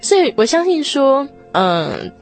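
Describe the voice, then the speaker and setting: A woman's voice: high voice, very animated, unhurried, soft background music. A woman speaking as a guest on a radio show, sharing personal impressions in casual chat.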